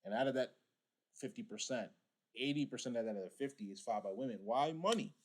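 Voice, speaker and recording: A man's voice: medium pitch, fast. A man whose first language is Igbo, giving casual reaction commentary and lecturing his viewers directly. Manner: preachy, addresses viewers directly. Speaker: reaction streamer.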